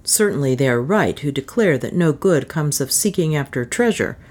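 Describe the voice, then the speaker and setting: A woman's voice: low voice, expressive, moderate pace, a little mellow. A woman voicing a grim, stoic male adventurer in an audiobook, gloomy, cool and firm. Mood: gloomy, resolute. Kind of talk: audiobook character acting.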